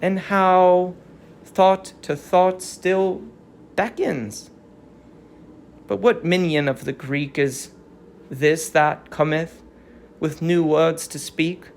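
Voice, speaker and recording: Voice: mid-range voice, steady tone, extremely slow, creaky, long pauses, a little hiss or hum, pitched below the performer's own voice. A performer playing an aged fallen queen, sorrowful and resigned, in a stately declamatory reading of Greek tragedy. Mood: grave, counselling.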